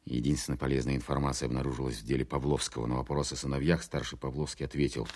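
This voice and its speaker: Officer-narrator, extremely low voice, understated confiding first-person storytelling, faint hiss. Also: male voice, darkish tone. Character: reflective male wartime counterintelligence captain narrating his story.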